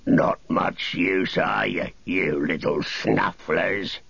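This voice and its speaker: A man doing a gruff, bullying orc soldier. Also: low-pitched, slow, fairly bright tone, no room sound, highs cut off, exaggerated voice. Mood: scornful. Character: big brutish orc soldier, bullying and threatening.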